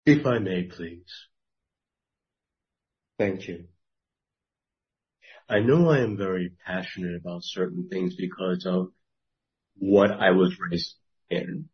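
Low voiced man at church group, halting, muffled recording. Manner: slow, halting, earnest. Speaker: church member in discussion. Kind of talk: personal testimony in group discussion.

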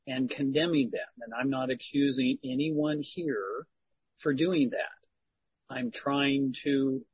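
A man leading a church Bible discussion in a slow, earnest, pastoral way. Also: mid-range voice, husky, highs cut off. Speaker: Bible study leader.

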